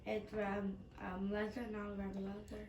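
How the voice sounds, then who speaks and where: Girl student asking stuff in class, halting and repeating words, roomy echo, noisy background, off mic. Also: mid-range voice, monotone, a little mellow. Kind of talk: questioning in class discussion. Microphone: far from the microphone. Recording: distant mic, noisy echoey room.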